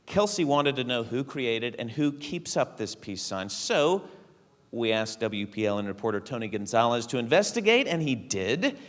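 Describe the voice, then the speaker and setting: A man's voice: medium pitch, sing-song, normal speed. A man hosting a live stage show, introducing the next segment to an audience. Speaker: host. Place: theater stage, before an audience. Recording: reverberant theater hall.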